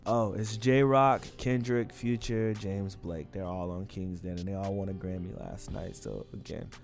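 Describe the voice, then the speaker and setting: A young man talks in a relaxed, slangy way on a casual podcast, drawing out his shout-outs. His voice sits in a middle register, and faint music plays behind him. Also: low-pitched, creaky. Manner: laid-back, slangy, drawn-out shout-outs. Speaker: podcast co-host. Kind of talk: casual chat about music.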